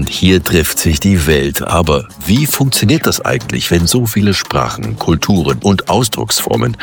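A man hosting a radio magazine show, presenting in a slow, playful way and asking questions. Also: low-pitched, vocal fry.

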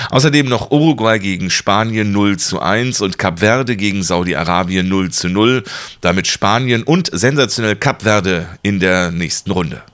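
A man reading the radio news, weather and football results in a crisp, measured way. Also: low-pitched, a bit bright. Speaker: radio newsreader.

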